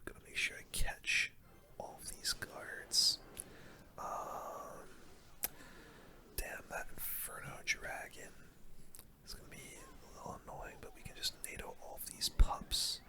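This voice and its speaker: A gaming host softly whispering relaxed ASMR gameplay commentary into a close microphone. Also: measured pace.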